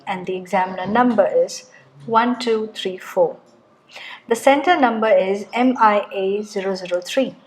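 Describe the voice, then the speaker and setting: A woman examiner reading scripted test questions in a measured, formal way. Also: medium pitch, a little hiss or hum, rough recording.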